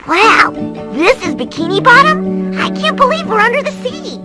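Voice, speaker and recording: Extremely high, squeaky little boy. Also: sing-song, normal speed, loud music behind the voice. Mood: thrilled. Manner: brash, squeaky, childlike. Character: brash, enthusiastic little boy hero, impatient and excitable. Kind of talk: cartoon video game acting.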